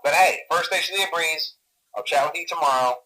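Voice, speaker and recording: A man's voice: on the high side, fairly quick, bright, forceful tone, poor audio. An actor playing a nervous, chipper male company employee who leaves rambling, stammering, awkwardly reassuring training messages through a telephone filter. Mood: casually reassuring. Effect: telephone voicemail filter. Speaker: phone guide to a night watchman. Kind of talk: recorded phone training message.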